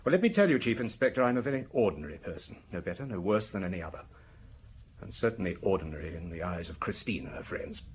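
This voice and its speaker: A man's voice: deep voice, lively intonation, fast talker, slight background noise. A man in a radio drama playing a defensive, bitter pharmacist of forty, precise and prickly in his speech. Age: 40s. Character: defensive, bitter forty-year-old male provincial pharmacist suspect.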